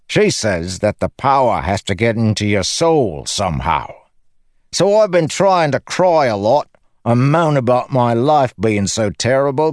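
A man's voice: mid-range voice, dry recording, studio quality, pitched above the performer's own voice. A man voicing a cocky, chatty young male soldier in an audiobook, flippant and theatrical. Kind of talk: audiobook character acting.

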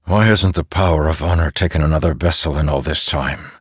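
A man's voice: extremely low pitch, fairly quick, slightly rough, dry recording. A commanding general, voiced by a male audiobook narrator, worn down yet stubbornly defiant. His male voice is earnest and demanding in a dramatic fantasy reading, with a dry, close sound. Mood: pressing, controlled.

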